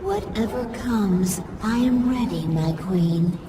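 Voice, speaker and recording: A woman's voice: medium pitch, takes it slowly, natural voice. Woman as a distant, solemn alien broodmother. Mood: solemn devotion. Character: loyal, fierce female alien broodmother serving her queen. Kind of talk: dramatic game cutscene acting. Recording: distant, noisy background.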